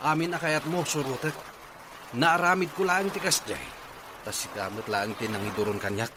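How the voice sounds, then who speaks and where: A man's voice: a little high, normal speed, noisy background, natural voice. A man playing a cheating husband in an Ilocano radio drama, defensive, exasperated and blaming. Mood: defensive, irritated.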